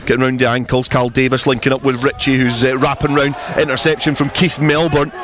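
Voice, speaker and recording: A man's voice: mid-range voice, level tone, fairly quick. A man giving flowing, descriptive live radio commentary on a rugby match. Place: outdoors at a rugby ground. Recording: outdoor match with crowd noise. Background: crowd at the match.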